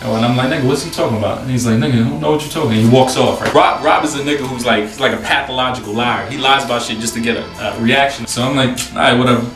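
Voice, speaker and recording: A man in his twenties with a New York accent, telling a story casually to camera in a slangy, profane, animated way. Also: medium pitch, rapid-fire, few pauses. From New York City, USA. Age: 20s. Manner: slangy, profane, animated retelling with acted-out dialogue. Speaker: host. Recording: roomy echo, distant mic, faint music.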